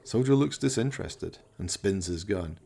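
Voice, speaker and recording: A man's voice: on the low side, expressive, moderate pace, vocal fry, dark tone, breathy edge. A man narrating a scripted radio play in an arch, florid, dramatic storytelling manner.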